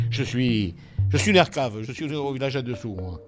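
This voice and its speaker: A man's voice: mid-range voice, expressive, normal speed, soft background music. A man stating plainly where he is from.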